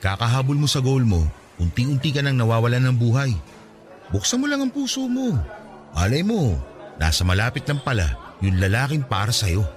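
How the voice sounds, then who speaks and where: Man acting animated, coaxing Filipino male friend. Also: medium pitch, normal speed, natural voice. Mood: earnest coaxing. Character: caring male friend giving earnest love advice. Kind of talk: radio drama dialogue. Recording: music under the voice.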